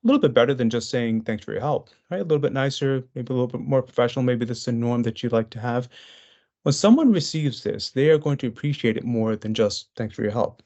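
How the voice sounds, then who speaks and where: A man leads a business webinar in a mid-range voice, talking his audience through slides in a loose, conversational way. He often restarts phrases and fills pauses as he goes. The audio is slightly dull and lo-fi, as if picked up by a computer mic. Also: medium pitch, darkish tone. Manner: conversational, with frequent fillers and restarts. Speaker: webinar presenter.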